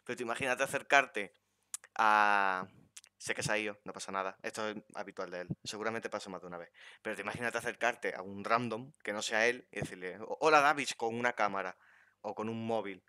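A man's voice: lowish voice, fairly quick, sharp tone, clean tone, no room sound. A young man from Spain with a Spanish accent, hosting a casual podcast chat on a headset and joking around with his guest. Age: young adult. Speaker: podcast host. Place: bedroom streaming setup.

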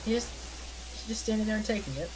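A man's voice: high-pitched, lively intonation, fast, noisy background. A man chatting casually as co-commentator over gameplay with dry, understated teasing.

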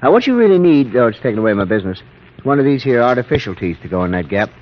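A man's voice: medium pitch, sing-song, rapid-fire, close to an everyday voice. A man playing a cheerful small-town colonial barber in a 1940s radio drama, folksy and salesmanlike. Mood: chatty, persuasive. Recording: muffled, noisy 1940s radio recording.

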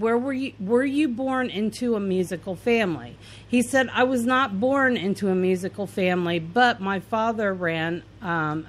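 A woman podcast host from Indiana, reading aloud both sides of an interview in a plain, earnest way with some fillers and restarts. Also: medium pitch.

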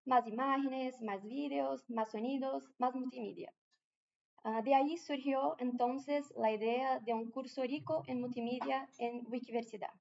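Brazilian woman presenting in halting Spanish. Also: high-pitched, steady tone, gravelly, a little mellow, highs cut off. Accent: Brazilian Portuguese. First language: Portuguese. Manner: careful, with frequent hesitations and restarts. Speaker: conference speaker. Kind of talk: conference presentation. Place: large conference room.

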